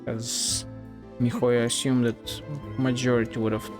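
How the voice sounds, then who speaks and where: A man's voice: medium pitch, little pitch movement, measured pace, music in the background. A man giving casual, blunt, sweary commentary as he streams a video game. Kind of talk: casual live game commentary, reading dialogue aloud.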